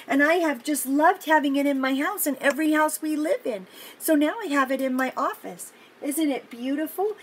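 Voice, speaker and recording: An older woman reading a picture book aloud to young children, gently and encouragingly, with chatty asides and questions to the listener. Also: high-pitched. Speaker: children's story reader and host. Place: indoor home office. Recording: clear background noise, room ambience.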